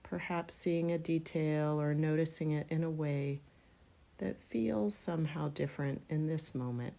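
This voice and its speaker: A woman with a low, mellow voice guides a relaxation meditation. She speaks very slowly in short, gentle phrases, leaving room between them. Her delivery is unhurried and instructional, with a heavy creak in the voice. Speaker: yoga nidra meditation guide.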